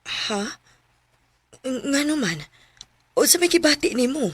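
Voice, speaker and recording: An actress playing a gentle, affectionate married woman in love with an older man in a Cebuano radio romance drama, with a Cebuano accent. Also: high voice, lively intonation, a little slow. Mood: tender, concerned.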